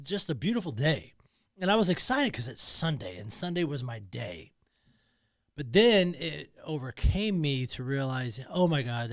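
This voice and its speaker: A man's voice: mid-range voice, no echo. A man talking alone in a podcast studio, emotional and emphatic, often repeating himself. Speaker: podcast host. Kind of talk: personal monologue.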